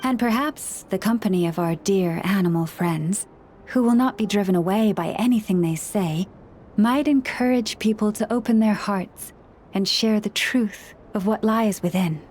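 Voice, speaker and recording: An actress playing a gentle, caring woman who looks after forest animals, speaking in a soothing, measured way in a video game. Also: medium pitch, slight background noise, close to an everyday voice. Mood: serene, earnest. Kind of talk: video game character dialogue.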